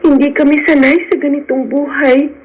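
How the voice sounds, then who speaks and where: An actress playing a gentle, fearful, sheltered rich young woman in a Filipino radio drama. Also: high voice, level tone, normal speed, close to an everyday voice. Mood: guarded, gentle. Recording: dull lo-fi radio, music behind.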